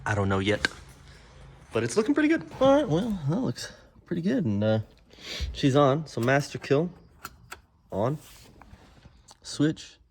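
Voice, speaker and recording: A man's voice: fairly high pitch, big pitch swings, unhurried, pauses often, a little mellow. A man giving an easygoing, unscripted show-and-tell of a DIY project in an offhand, casual way. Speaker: vlogger showing a DIY car build. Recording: clear background noise and ambience.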